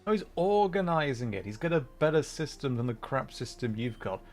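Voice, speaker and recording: A man hosting a gaming livestream, chatting casually and thinking out loud in a rambling way as he plays. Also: medium pitch, very animated, fairly quick. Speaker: livestream host. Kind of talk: casual gaming livestream chat while playing. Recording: music playing behind the voice. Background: music.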